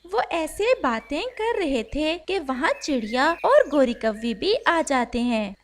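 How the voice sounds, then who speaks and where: High-pitched, animated woman storyteller. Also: slow pace. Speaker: story narrator. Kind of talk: scripted children's story narration.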